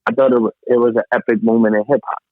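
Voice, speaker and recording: A man's voice: mid-range voice, flat delivery, racing, no room sound. A man in casual, opinionated music discussion on a podcast, speaking emphatically and repeating phrases to drive points home. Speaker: podcast co-host. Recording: thin phone-like line.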